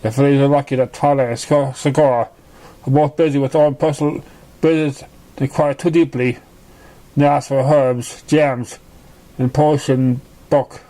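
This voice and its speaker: A man reading a story aloud as narration, halting and often stumbling over the words. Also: mid-range voice, fairly even intonation, mellow, poor audio. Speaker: amateur fan fiction reader.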